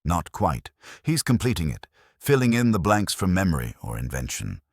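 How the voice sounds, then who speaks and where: A man voicing a keen, brooding master detective in an audio story, explaining in a deliberate, theatrical manner. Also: low-pitched, very animated, no echo, studio quality, full character voice. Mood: intent.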